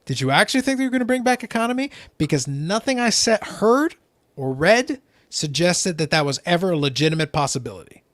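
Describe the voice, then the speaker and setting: A man who speaks a little higher than most, hosting a casual hobby chat. He explains with animation and often repeats a phrase as he gets going. The sound is dry and close. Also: very animated, fairly quick, a bit bright, dry recording. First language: not English. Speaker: podcast host.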